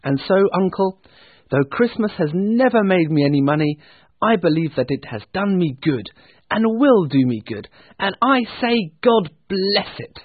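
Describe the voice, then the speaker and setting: A man voicing a cheerful, earnest young gentleman in a storybook, hearty and pleading. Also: high voice, big pitch swings, a little slow, husky, dry recording, pitched above the performer's own voice, exaggerated voice. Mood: earnest, heartfelt. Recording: thin, phone-like narrow-band sound.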